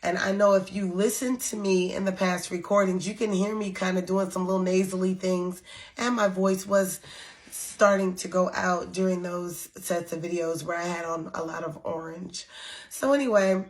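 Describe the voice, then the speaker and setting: A woman leading a spiritual coven, talking casually to her followers while she recovers from losing her voice, with many pauses and ums. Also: lowish voice, steady tone. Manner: slow, unhurried, with frequent ums. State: recovering from lost voice. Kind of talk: casual spiritual talk to camera.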